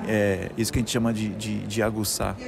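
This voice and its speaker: A middle-aged man tells his story earnestly, stumbling over his words and adding little tags at the end of his phrases. His voice has a gravelly edge. Music plays clearly behind him at a busy fair stand. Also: fairly low pitch, level tone, fairly quick. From Salvador, Brazil. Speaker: guest, musician and conductor. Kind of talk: personal testimony in an interview.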